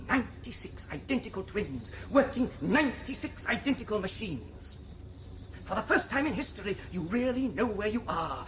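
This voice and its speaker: A pompous, patronizing director of a breeding factory lectures his students in a radio play. His delivery swings freely up and down in pitch, and a steady noise sits under the recording. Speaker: hatchery director. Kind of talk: 1990s radio drama acting.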